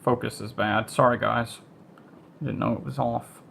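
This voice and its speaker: A man's voice: medium pitch, fairly even intonation, a little slow, creaky, a bit bright, slight background noise. A man hosting an amateur livestream in a casual, informal way, thinking aloud as he goes. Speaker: amateur storm-watch livestream host. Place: a room at home.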